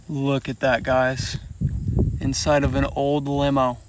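A man narrating his walk through abandoned buildings and old vehicles, excited and talking straight to viewers, filmed on a handheld phone. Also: mid-range voice, slow, slight background noise. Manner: excited, keeps addressing viewers as "guys". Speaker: urban explorer vlogger. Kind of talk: walkthrough narration while exploring.

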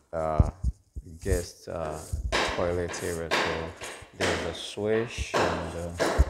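Slow, low-voiced man. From Ghana. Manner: halting, itemising. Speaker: electrician giving a house tour. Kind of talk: casual walk-through explanation. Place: indoors, unfinished house with bare walls. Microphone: handheld phone or camera. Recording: noisy handheld recording in bare room.

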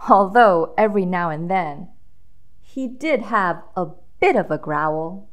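A woman's voice: fairly low pitch, expressive, takes it slowly. A woman reading a children's storybook aloud with dramatic emphasis, drawing out key words for young listeners.

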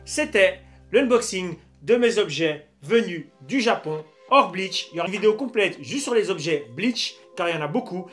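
A young man with a high-pitched voice presents an unboxing vlog. He talks in a casual, slangy way, like someone showing things to friends. Faint music plays under his voice. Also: lively intonation, normal speed, a bit bright. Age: young adult. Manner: informal, slangy. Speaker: YouTube host unboxing souvenirs. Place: small dim room at home, indoors.

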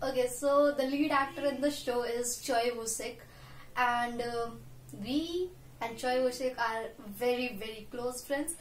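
A woman's voice: a little high, a little slow, a little hiss or hum. A young woman from India with an Indian English accent, chatting casually to camera as a reaction vlogger, self-deprecating and full of "so yes" fillers. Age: young adult. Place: small room at home, indoors. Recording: roomy home room echo, faint music.

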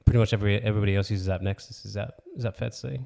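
A man's voice: fairly low pitch, steady tone, racing, creaky, dark tone. A man hosting a podcast, asking casual interview questions.

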